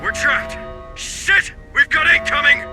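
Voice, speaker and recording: An actor playing a curt, commanding marine sergeant leading a squad in a sci-fi game. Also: male voice, very high voice, expressive, a little slow, over music. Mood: alarmed.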